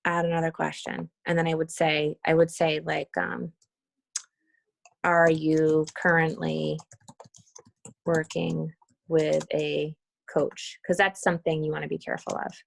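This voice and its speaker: A woman's voice: deep voice, monotone, measured pace, vocal fry, a little hiss or hum. A woman leading an informal screen-share walkthrough on a team call, talking casually and thinking aloud as she demonstrates. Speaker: team leader giving a tutorial.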